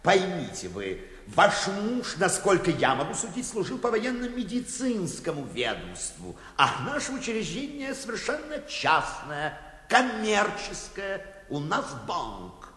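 Radio play: exasperated, self-important male banker, emphatic and hugely varied in pitch, echoey hall. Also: wildly swooping pitch, measured pace, sharp tone, full character voice. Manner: stammering, formal, emphatic. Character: flustered, pompous male bank director, politely exasperated. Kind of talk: classic comic radio play acting.

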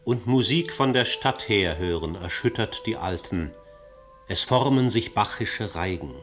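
A man reciting the narration of a verse radio play in a measured, solemn way. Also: lowish voice, animated, a bit bright, soft background music.

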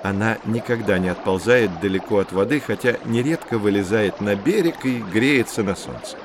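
A man's voice: lowish voice, noisy background, lightly acted. A man playing a knowledgeable, patient nature guide who explains things in a children's educational audio drama. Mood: explaining. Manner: measured, didactic.

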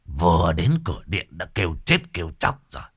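A man voicing a grumbling older male shaman who talks low and quiet. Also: big pitch swings, brisk, husky, dry recording, studio quality, full character voice.

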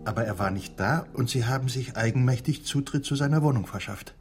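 A man's voice: mid-range voice, a little fast, soft background music, natural voice. An actor playing a sceptical man who questions dryly and probingly in a radio drama.

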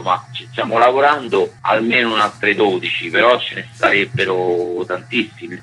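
A man's voice: deep voice, pushed and bright, a little hiss or hum, low-quality recording. A man with a Roman accent giving casual, colloquial, rambling answers as an interviewed musician. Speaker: musician interviewed as band member.

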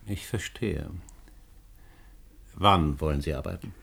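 An actor playing a courteous, hesitant middle-aged academic in a German radio drama. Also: male voice, very deep voice, takes it slowly, gravelly, fairly bright tone, exaggerated voice. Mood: obliging.

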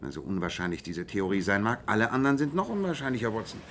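An actor playing a shrewd, self-assured male detective in a radio play, explaining in a measured and precise way. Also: lowish voice, animated, a bit bright, a little hiss or hum. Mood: analytical, assured. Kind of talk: German radio play dialogue.

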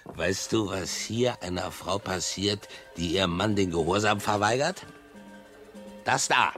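An actor playing a drunken, domineering colonial officer, a bullying and volatile husband in a German radio play. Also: male voice, low-pitched, measured pace, a bit bright, faint music behind. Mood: menacing.

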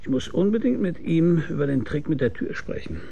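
Gravelly, mellow private detective. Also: male voice, a little high, animated, a little fast, highs cut off. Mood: wry, easygoing. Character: wry hard-boiled male private detective. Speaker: lead actor and narrator. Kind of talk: radio crime drama, first-person narration.

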